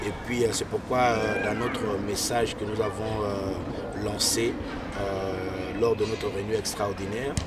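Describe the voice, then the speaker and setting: A man's voice: fairly low pitch, little pitch movement, measured pace, loud music behind the voice. A man with a Congolese French accent, a pastor speaking earnestly in an interview and repeating phrases for emphasis. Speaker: pastor, president of a pastors' association. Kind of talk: interview answers, preaching tone. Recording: distant mic with loud traffic noise outdoors.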